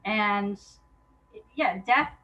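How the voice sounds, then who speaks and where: A woman with a bright, clear-cut voice at a middling pitch speaks as a guest in a casual interview. She is informal and works out her answers as she goes. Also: drawn-out words, dull audio. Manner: informal, thinking aloud. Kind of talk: casual chat.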